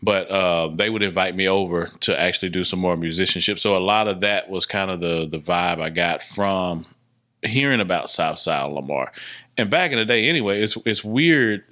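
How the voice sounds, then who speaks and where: Deep-voiced man telling stories casually. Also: moderate pace, pushed and bright. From Dallas, Texas, United States. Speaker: podcast guest, filmmaker and musician. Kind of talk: casual conversational storytelling.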